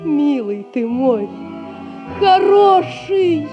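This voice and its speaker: A wilful, coaxing young merchant's daughter; high voice, music behind. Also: female voice, very animated, drawn-out words, lo-fi sound, heavily acted. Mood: tender. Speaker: stage actress. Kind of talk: 1960s theatre radio play.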